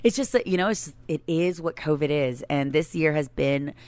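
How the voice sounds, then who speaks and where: A woman in her late thirties with a low-pitched voice, co-hosting a casual podcast. She talks in a chatty, informal way and laughs easily. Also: normal speed, a little mellow. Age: late 30s. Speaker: podcast co-host. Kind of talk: casual banter with spouse.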